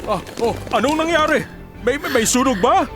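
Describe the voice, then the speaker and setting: An actor playing a gentle, bumbling middle-aged father with a Filipino accent, stammering through a comedic radio drama. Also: very high-pitched, expressive, moderate pace. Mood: alarmed. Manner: hesitant, stammering. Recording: music behind the voice.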